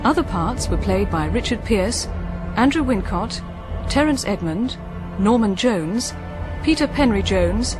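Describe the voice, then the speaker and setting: A woman announcer with a medium-pitched voice reads scripted credits for a radio production. Dramatic music plays clearly behind her. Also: animated, unhurried. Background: loud dramatic music.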